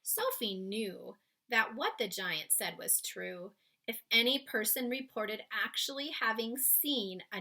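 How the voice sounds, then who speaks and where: Female children's read-aloud narrator, bright tone, unhurried delivery. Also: mid-range voice. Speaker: storybook reader.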